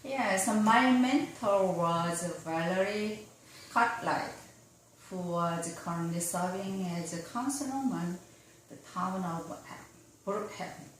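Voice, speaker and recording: Slow, careful woman, Korean native speaker. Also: mid-range voice. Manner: slow, careful, somewhat formal answers. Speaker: attorney. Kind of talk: answering interview questions. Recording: roomy, distant mic with faint noise.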